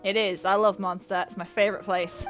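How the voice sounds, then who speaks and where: A woman's voice: medium pitch, flat delivery, fairly quick, soft background music. A woman giving casual, sarcastic, sweary video game commentary. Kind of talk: casual let's play commentary.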